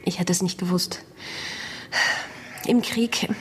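A woman's voice: lowish voice, steady tone, slow, in a whisper. A woman playing a polite, genteel character in postwar Germany, speaking formally and courteously in a radio drama. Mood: troubled. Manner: courteous, formal small talk.

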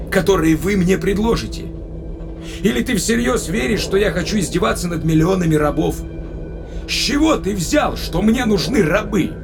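Man acting a defiant, high-pitched space traveller. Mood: indignant. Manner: impassioned, declamatory. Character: proud defiant human male space traveller. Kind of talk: dramatic audio drama acting.

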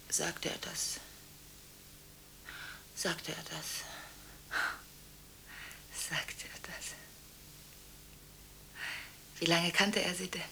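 An actress playing a restless, contradictory woman on the phone late at night in a radio drama, halting and anxious, shifting between hushed and insistent. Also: mid-range voice, vocal fry, a little hiss or hum. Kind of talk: radio monodrama acting, one side of phone conversations.

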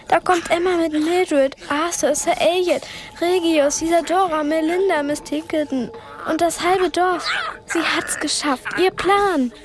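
A woman playing a brave, earnest twelve-year-old girl in a radio play, frightened but resolute. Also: high voice. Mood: urgent.

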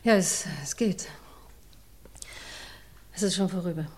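A woman's voice: on the low side, darkish tone. Distressed female witness, echoing hall. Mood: faint, shaken. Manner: halting, faltering, repeating herself. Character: distressed forty-year-old secretary confessing as court witness. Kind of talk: radio crime play acting. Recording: long reverberant courtroom hall.

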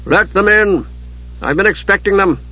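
A stern storybook master of a great library, acted by a male narrator. He gives formal, impatient orders in a slightly raised, husky voice. The audio is somewhat dull. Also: sits high, normal speed, pushed and bright, no room sound, pitched above the performer's own voice. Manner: imperious, formal, giving orders. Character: ageless, commanding master historian, stern and impatient. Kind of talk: dramatised audiobook character.

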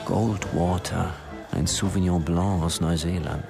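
An actor playing a wry, observant thriller narrator, telling the story in a dry, sardonic manner. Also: male voice, deep voice, steady tone, measured pace, creaky, over music. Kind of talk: scripted radio drama narration.

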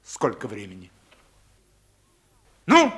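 Bright-voiced, domineering older patriarch. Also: male voice, mid-range voice, huge pitch swings, slow, husky, exaggerated voice. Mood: stern, interrogating. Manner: commanding, clipped interrogator. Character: domineering older wealthy father interrogating his household. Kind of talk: radio play acting.

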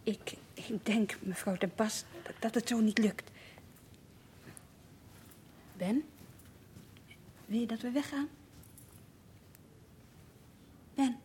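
An actress playing a patient, careful care worker mediating in a family, polite and tactful, in a Dutch radio play. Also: female voice, on the high side, slow pace, pauses often, darkish tone, a little hiss or hum.

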